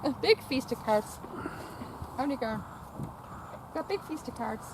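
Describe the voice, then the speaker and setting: A woman's voice: sits high, lively intonation, normal speed. A woman chatting outdoors in a paddock, coaxing a mare in baby talk, often repeating phrases and stammering over words. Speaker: vlogger visiting a stud farm. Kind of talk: casual talk to a horse and companion. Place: outdoor paddock at a stud farm. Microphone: camera held by companion. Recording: outdoor wind and farm noise.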